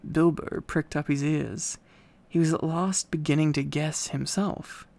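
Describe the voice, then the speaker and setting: A man narrating a storybook in a relaxed bedtime reading style. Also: on the high side, a little slow, creaky.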